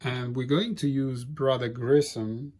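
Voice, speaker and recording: A man whose first language is Polish, hosting a board game playthrough in casual, halting commentary. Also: mid-range voice, fairly even intonation, darkish tone. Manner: halting, frequent restarts and fillers. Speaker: board game channel host.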